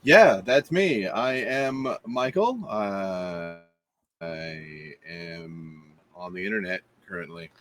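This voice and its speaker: Deadpan man introducing his player character. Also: low voice, slow. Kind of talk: casual self-introduction.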